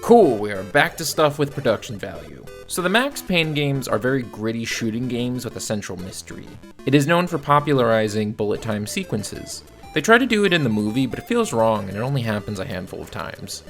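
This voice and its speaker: Give the voice of a male video reviewer with a creaky edge and lively, swinging pitch. He delivers scripted commentary on films, blunt and exasperated, with light music behind him. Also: brisk, hardly any pauses.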